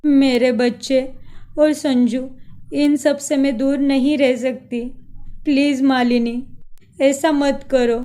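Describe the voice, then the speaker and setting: A woman's voice: high voice, fairly even intonation, takes it slowly, dark tone. A woman voicing a heartbroken young wife and mother who pleads tearfully. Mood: pleading.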